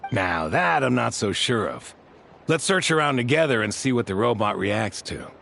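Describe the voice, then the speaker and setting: Gravelly, composed soldier. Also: male voice, medium pitch, expressive, over music. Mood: calm, helpful. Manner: measured, formal, reserved. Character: composed, dutiful soldier, formal and courteous. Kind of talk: video game voice acting.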